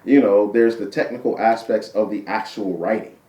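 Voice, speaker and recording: A man with a deep voice, a guest poet chatting casually in an interview, repeating himself and tagging points with "right?". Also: steady tone, normal speed, mellow. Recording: roomy sound from a distant mic.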